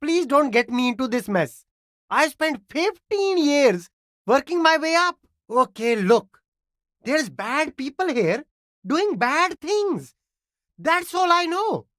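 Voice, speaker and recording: An actor playing an immigrant street vendor in a video game, an eager, defensive hustler with ingratiating, evasive sales patter. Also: male voice, very high-pitched, fairly bright tone, no room sound, clean studio sound, heavily acted. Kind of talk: video game character dialogue.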